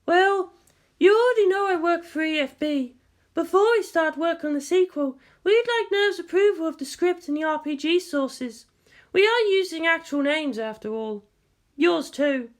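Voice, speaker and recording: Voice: very high-pitched, moderate pace, voice pushed up. A narrator voicing an earnest, polite young woman, a businesslike concept artist in an audiobook story. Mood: eager, polite.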